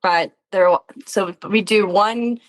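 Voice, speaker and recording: A woman's voice: mid-range voice, slow, rough recording. A woman speaking as an interview guest in casual, reflective chat, often laughing as she talks. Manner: giggly, laughs often while talking. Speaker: guest, player and actor on a tabletop game show.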